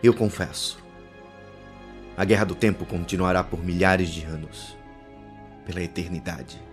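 A man's voice: low-pitched, unhurried. A man playing a gleeful, megalomaniac alien president in an audio drama, gloating theatrically. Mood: gloating, scheming. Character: gleeful megalomaniac male villain, alien Time Lord president. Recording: loud music under the voice.

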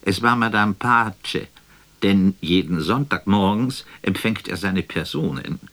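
An actor playing a wistful, musing poet in a radio play, with a theatrical and deliberate delivery. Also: male voice, deep voice, animated, fairly bright tone. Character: wistful male poet imagining a last telephone conversation.

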